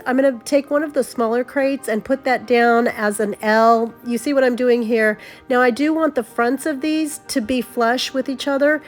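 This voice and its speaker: A middle-aged woman with a stuffy cold, chatting upbeat through a do-it-yourself craft tutorial. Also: a little high. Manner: chatty and upbeat, exclaims over gifts. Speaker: craft vlogger. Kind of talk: casual DIY tutorial narration.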